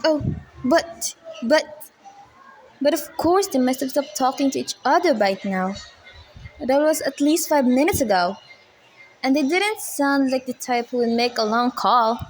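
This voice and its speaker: A woman's voice: high voice, animated, unhurried, a little hiss or hum, rough recording. A woman playing an agitated, demanding invalid housewife in an amateur radio play, insistent and exasperated. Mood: anxious.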